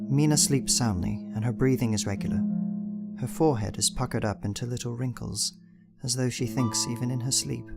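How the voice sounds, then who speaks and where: A man with a creaky voice reading a diary as dramatised, scripted narration. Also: lowish voice. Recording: music behind the voice.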